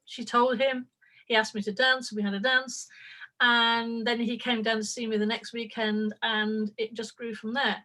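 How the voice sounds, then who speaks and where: A woman's voice: a little high, level tone, moderate pace, pushed and bright, poor audio. An older woman, a writer being interviewed over a video call, talking openly and affectionately with frequent laughs. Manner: open and affectionate, laughing often, rambling with fillers. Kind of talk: casual interview chat.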